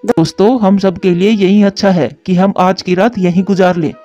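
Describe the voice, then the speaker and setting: A man's voice: sits high, steady tone, normal speed, warm tone, close to an everyday voice. A man voicing a caring, responsible male pigeon in a children's moral story cartoon. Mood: reassuring. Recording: dull lo-fi track with music.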